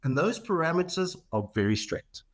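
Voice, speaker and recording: A man's voice: a little high, sing-song, moderate pace. A middle-aged man with a South African accent, answering questions in a conversational way as a podcast guest. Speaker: podcast guest, marketing executive.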